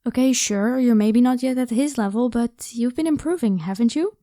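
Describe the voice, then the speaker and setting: Casting: actress as protective, playful vampire woman, soft-toned and higher pitched, reassuring everyday delivery, for ASMR audio drama. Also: normal speed, no echo, studio quality, lightly acted. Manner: casual, encouraging, reassuring.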